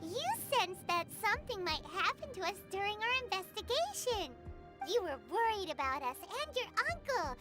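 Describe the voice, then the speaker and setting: A woman voicing a tiny, cheeky fairy companion who chirps and refers to herself in the third person, over loud game music. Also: extremely high pitch, very animated, moderate pace. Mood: chirpy. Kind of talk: video game voice acting.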